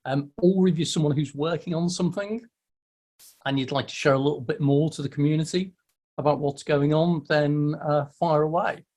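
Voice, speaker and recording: A man's voice: on the high side, fairly even intonation, moderate pace, a little mellow. A man hosting a casual meetup over a video call, friendly and welcoming, often stumbling and restarting his words. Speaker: host of a weekly online meetup.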